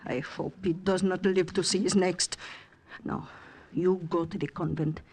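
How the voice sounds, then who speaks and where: An actress playing a resolute underground conspirator, firm and urgent, in 1940s radio drama style. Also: female voice, on the low side, steady tone, measured pace, darkish tone, slightly rough. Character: resolute French woman secretly aiding the wartime underground. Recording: vintage 1940s radio recording.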